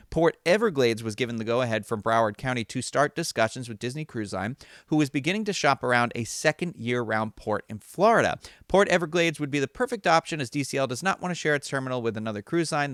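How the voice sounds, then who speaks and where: A man with a smooth, clean voice at a middle pitch presents a news recap. He reads prepared items and slips into chatty, informal asides. The sound is close and dry. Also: a bit bright, no echo. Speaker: host of a theme park news show.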